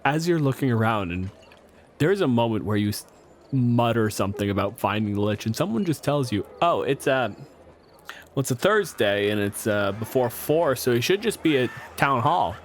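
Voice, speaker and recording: A man's voice: mid-range voice, expressive, moderate pace, a little hiss or hum. A man casually narrating a tabletop game as game master, with a wry, joking manner. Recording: faint background music bed. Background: music.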